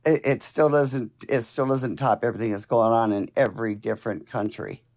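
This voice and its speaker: A woman in her sixties with a low voice, a stubborn, defensive caller arguing on a call-in show. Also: fairly even intonation, a little fast, darkish tone. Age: 60s. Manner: stubborn, defensive, talks over the host. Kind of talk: call-in argument.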